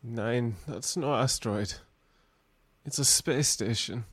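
A man's voice: medium pitch, slow, a bit bright, husky, dry recording. A man playing an alarmed mutant jet pilot in a live superhero radio play. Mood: alarmed, urgent. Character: alarmed mutant pilot at the jet's controls, male.

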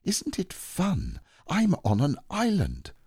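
A man's voice: high voice, wildly swooping pitch, takes it slowly, dark tone, breathy edge, dry recording, clean studio sound, pitched above the performer's own voice, full character voice. A man voicing an eager, affectionate, innocent young boy in a storybook, earnest and exclaiming. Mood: bright, curious.

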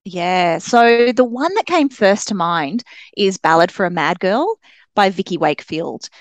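A woman's voice: medium pitch, animated, measured pace, dry recording. A woman chatting enthusiastically as a guest author on a book podcast. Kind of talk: casual book podcast conversation.

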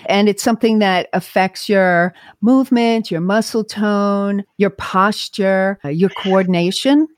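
A woman hosting an interview, asking explanatory questions and restating answers in a measured, clarifying way. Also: medium pitch, fairly even intonation, no echo, clean studio sound. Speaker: podcast host, interviewer.

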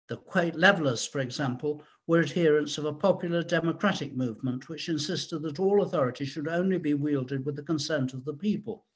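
A man reading a researched historical account aloud in a measured, formal way, with the occasional stumble. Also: fairly high pitch, breathy edge. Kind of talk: scripted historical narration, read aloud.

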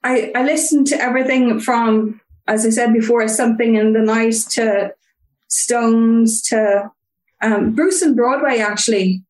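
A woman's voice: fairly high pitch, fairly even intonation, a little slow, a little mellow, roomy sound, poor audio. A young Irish woman, a guest, chatting casually and telling stories with frequent ums. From Ireland (Cork). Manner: chatty, storytelling with filler ums. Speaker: guest fan. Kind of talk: casual interview chat.

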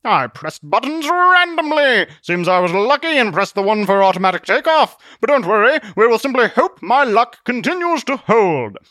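A man's voice: extremely high pitch, sing-song, moderate pace, hardly any pauses, pushed and bright, dry recording, pitched above the performer's own voice, heavily acted. A man voicing a reckless, cheerfully boastful male adventurer in an audiobook, with theatrical, grandiose delivery. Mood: breezy, carefree. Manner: theatrical, grandiose, florid phrasing. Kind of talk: audiobook character voice.

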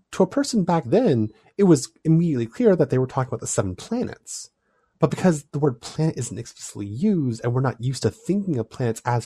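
A man talks through his ideas in a relaxed, thoughtful way. His voice sits at a middle pitch. The sound is dry and close, with no room echo. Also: husky. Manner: thoughtful, explanatory, animated delivery. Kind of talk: casual discussion.

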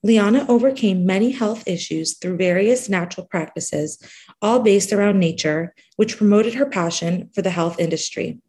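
A woman narrating a scripted podcast introduction in a measured way. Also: medium pitch, a little mellow, breathy edge. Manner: measured, reading a guest introduction.

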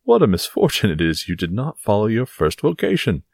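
A man's voice: lowish voice, wildly swooping pitch, brisk, vocal fry, darkish tone, dry recording, studio quality, pitched above the performer's own voice, full character voice. A man voicing a boastful, self-satisfied musketeer in an audiobook adventure story, exclaiming theatrically. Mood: delighted, mocking. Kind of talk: dramatised audiobook reading of a classic adventure novel.

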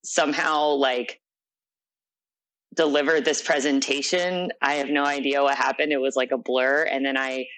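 Young woman recounting an ordeal, flat-voiced. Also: a bass voice, moderate pace, low-quality recording. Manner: earnest, reflective storytelling. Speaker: interviewee. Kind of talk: personal account in conversation.

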